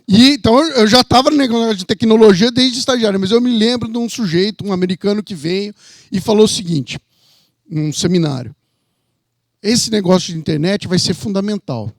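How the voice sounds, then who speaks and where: A man in his fifties with a São Paulo accent presents for a university. His voice is high for a man and closely recorded with little room sound. He explains informally, wandering into asides and rhetorical questions. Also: brisk, dry recording, clean studio sound. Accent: São Paulo state Brazilian. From São Paulo, Brazil. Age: 50s. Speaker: economist and university representative.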